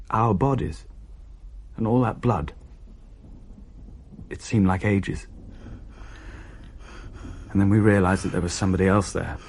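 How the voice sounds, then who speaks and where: A man's voice: sits low, husky, exaggerated voice. An actor playing a shaken man who recounts a horrifying haunting in a dramatic radio play. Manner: hesitant, haunted, dramatic storytelling. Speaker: narrator and character in radio play.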